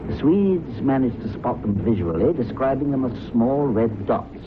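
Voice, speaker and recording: An actor playing a keen, curious reporter and husband in a vintage radio drama. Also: male voice, mid-range voice, lively intonation, moderate pace, close to an everyday voice. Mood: absorbed, intrigued. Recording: noisy, narrow-band old radio recording.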